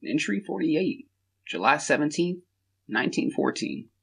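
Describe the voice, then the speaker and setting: A man voices a terse diary announcer in an audio drama. His voice is very raspy and gravelly. He reads out dates in a clipped, scripted way. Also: fairly high pitch, big pitch swings, unhurried, a bit bright, full character voice. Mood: flat, solemn. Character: announcer of dated diary entries from 1914.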